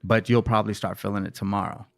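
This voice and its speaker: A young man hosting a casual podcast chat in a studio, animated and quick to repeat words as he starts his sentences. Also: fairly low pitch, gravelly, no echo. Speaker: podcast host.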